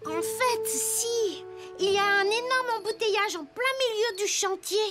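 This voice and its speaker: A woman's voice: very high voice, unhurried, over loud music. A woman playing an earnest, cheerful little wooden boy detective in a children's cartoon. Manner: earnest, eager. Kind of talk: animated children's cartoon dialogue.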